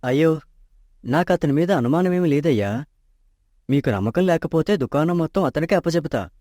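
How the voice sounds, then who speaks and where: A man's voice: fairly high pitch, lively intonation, moderate pace, mellow, dry recording, close to an everyday voice. A man voicing a polite, honest young clerk. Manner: polite and deferential. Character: honest, modest young shop accountant. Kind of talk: animated storybook dub. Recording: dry close studio mic.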